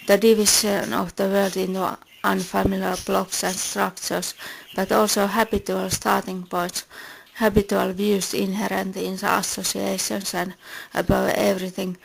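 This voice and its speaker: A woman with a Finnish accent, a native Finnish speaker, reading a personal essay in a measured, deliberate and earnest way. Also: mid-range voice, vocal fry, a little hiss or hum, poor audio. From Finland.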